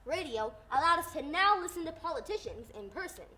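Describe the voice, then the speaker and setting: Youngster acting as high-voiced old-time radio host. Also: expressive, fairly quick, pushed and bright, exaggerated voice. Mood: folksy, announcing. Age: young teenager. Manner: theatrical, declamatory, folksy. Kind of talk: scripted 1940s radio show acting. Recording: faint background noise.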